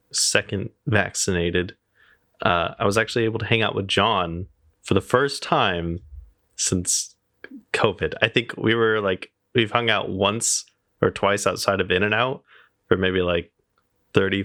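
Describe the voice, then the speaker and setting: Laid-back young man, low voice. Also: steady tone, measured pace, sharp tone, no echo, studio quality. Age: young adult. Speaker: podcast co-host. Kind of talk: casual chat.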